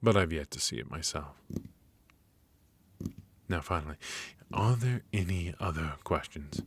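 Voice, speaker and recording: A man's voice: deep voice, expressive, slightly rough, full character voice. A man voicing a cold, stern royal magic professor. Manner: measured, stern, lecturing. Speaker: voice actor in ASMR roleplay. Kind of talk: ASMR roleplay.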